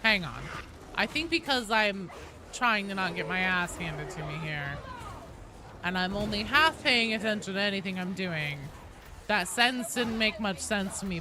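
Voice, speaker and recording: Animated, foul-mouthed woman live-streaming, noisy background. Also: medium pitch. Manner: profane, joking, slangy. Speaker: game streamer. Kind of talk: casual live-stream chat while playing a game.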